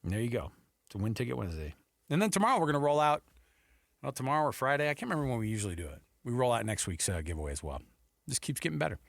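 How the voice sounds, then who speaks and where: A man hosts sports radio in a casual, bantering way. His mid-pitched voice moves around a lot as he teases and jokes. The sound is close and dry. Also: very animated, racing, gravelly, no room sound. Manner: playful, teasing.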